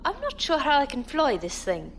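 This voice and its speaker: Lady doing young ensign pilot, high n swoopy, excitable, a bit baffled, audio drama. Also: moderate pace, slight background noise, heavily acted. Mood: uneasy. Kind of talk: sci-fi audio drama acting.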